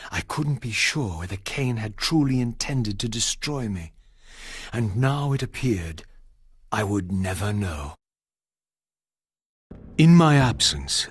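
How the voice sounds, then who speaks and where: An actor playing a bitter, defiant undead avenger in a video game, theatrical and sardonic, with formal archaic diction. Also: male voice, lowish voice, fairly bright tone, breathy edge, exaggerated voice. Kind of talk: dramatic video game voice acting.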